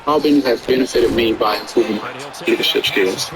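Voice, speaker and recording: A man giving an earnest, measured prepared statement. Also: medium pitch, crowd noise.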